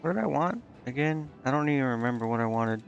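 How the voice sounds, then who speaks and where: Gravelly-voiced man thinking aloud while gaming. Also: medium pitch, expressive, quick pace, muffled recording. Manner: indecisive muttering, talking to himself. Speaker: game streamer. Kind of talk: casual solo gameplay commentary, thinking aloud. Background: game music.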